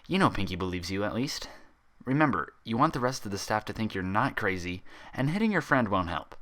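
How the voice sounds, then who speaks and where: Man as an irritable, bookish young mare, animated. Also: on the low side, fairly quick. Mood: self-calming. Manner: strained, self-controlled, sarcastic. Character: bookish, irritable young female pony, sarcastic and tense. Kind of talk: dramatized fan fiction reading.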